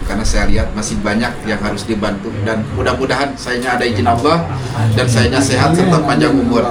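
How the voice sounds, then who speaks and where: A man's voice: mid-range voice, recorded from a distance. A man from Indonesia giving an earnest speech as host of a village ceremony, growing emphatic at times. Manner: earnest, emphatic when defending himself. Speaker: host handing over a prayer house. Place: outdoors in a village. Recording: echoey, from afar, with noise.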